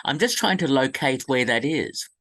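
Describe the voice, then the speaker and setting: Bright, animated male interviewer. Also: mid-range voice, normal speed. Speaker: interviewer, radio show host. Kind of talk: conversational interviewing.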